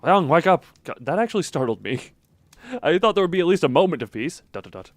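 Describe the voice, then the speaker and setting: A man's voice: a little high, very animated, a little fast, no echo. A man streaming a visual novel, reading the narration aloud and breaking off for casual asides and small laughs. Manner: reads narration evenly, breaks off for chuckling asides.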